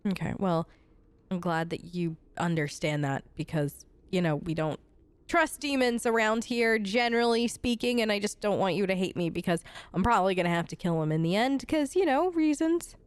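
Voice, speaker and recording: A woman's voice: medium pitch, expressive, normal speed, clean tone, dry recording. A woman recording a role-play let's play of a video game, speaking into a close microphone.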